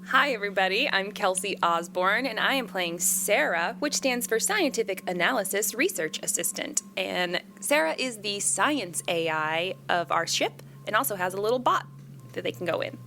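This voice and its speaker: A woman's voice: medium pitch, lively intonation, moderate pace, gravelly, pushed and bright, faint music behind. A woman who plays in a tabletop game, chatting casually on a podcast.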